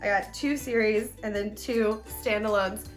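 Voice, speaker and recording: A woman's voice: medium pitch, steady tone, moderate pace, soft background music. A young American woman co-hosting a book show in casual, enthusiastic chat, with a playful, giddy and slangy manner.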